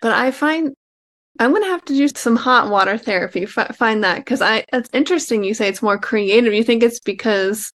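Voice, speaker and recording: A woman's voice: a little high, brisk, fairly bright tone. Host, woman; casual and affirming, supportive conversational tone. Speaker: podcast host.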